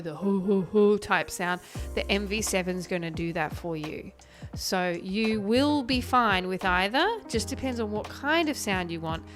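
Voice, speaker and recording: Woman host, light higher voice, friendly explanatory review talk, close mic, music bed. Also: fairly bright tone. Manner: friendly, chatty and explanatory, quick when talking. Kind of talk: casual tech review talk to camera. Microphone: close podcast microphone.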